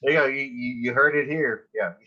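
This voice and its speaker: Halting bright-voiced man, dull audio. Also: medium pitch. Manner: halting, hesitant delivery. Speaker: marketing team member on a developer panel. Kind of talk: casual panel discussion.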